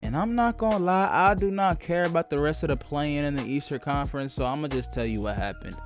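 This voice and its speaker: Slangy male sports recap host, slightly high voice. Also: a little fast, faint music behind. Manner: slangy, profane, mock-dramatic. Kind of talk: scripted comedic sports commentary.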